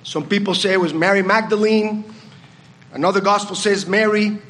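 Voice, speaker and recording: A man's voice: high voice, steady tone, moderate pace, a bit bright. A man with a Puerto Rican accent giving a reflective communion meditation at a church service and asking the congregation questions. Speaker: communion speaker.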